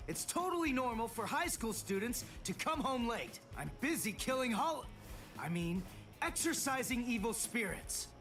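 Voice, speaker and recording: A man voicing a brash but earnest high school boy in an English anime game dub, blunt in manner. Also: sing-song, moderate pace, a bit bright, echoey room, big-room sound, soft background music, heavily acted. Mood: irritated, shouting.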